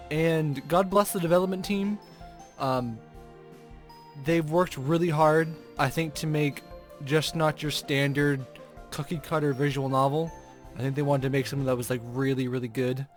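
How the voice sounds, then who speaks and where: A man hosting a let's play, chatting casually with rambling asides and reading game text aloud. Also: on the high side. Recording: music playing behind the voice. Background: video game background music.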